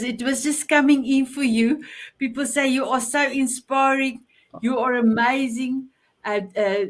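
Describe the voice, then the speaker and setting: A South African woman whose first language is Afrikaans, hosting an online show over a video call and gushing with praise. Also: on the high side. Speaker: show host.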